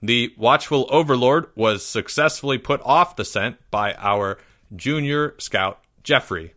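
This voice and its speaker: A man voicing a solemn, self-important schoolboy security chief in a grave, pompous and deliberate manner. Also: medium pitch, bright, forceful tone, no room sound, studio quality. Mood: grave warning.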